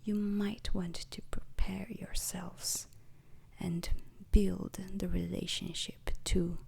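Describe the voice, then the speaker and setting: A woman plays a grave, retired space-mission veteran in an ASMR roleplay. She lectures recruits in a breathy, husky whisper with a crackling fry. The delivery is very slow, formal and deliberate. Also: fairly low pitch, level tone, a little mellow, exaggerated voice. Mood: measured, solemn. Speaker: instructor.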